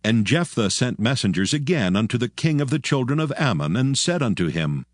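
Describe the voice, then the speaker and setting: A man narrating a dramatized Bible in a measured, formal storytelling manner. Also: mid-range voice, very animated, no echo, clean studio sound.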